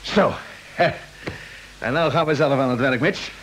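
An actor playing an eager, hearty expedition captain in a vintage radio drama, excitable and jovial. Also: male voice, medium pitch, a little slow, lightly acted, low-quality recording. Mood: cheerful, eager. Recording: old radio play with faint hiss.

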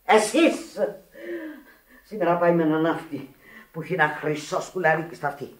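An actress playing a proud, bitter, passionate Sicilian widow in a radio drama, theatrical and emphatic, repeating herself. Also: female voice, low voice, natural voice. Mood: bitter, indignant. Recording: echoey radio drama recording.

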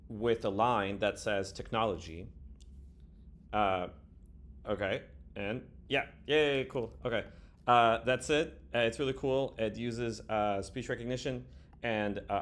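A man in his thirties giving a casual, halting software demo as a developer, speaking informally. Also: on the low side. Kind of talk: casual screen-recorded demo. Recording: background room noise.

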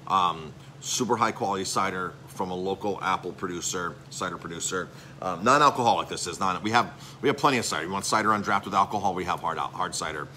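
Man, chef, middle-aged, salesy rambling chatter, lots of ums, fairly low voice, bright forceful tone. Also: fast, slight background noise. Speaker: chef and restaurant owner. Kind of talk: casual live-stream update. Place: restaurant.

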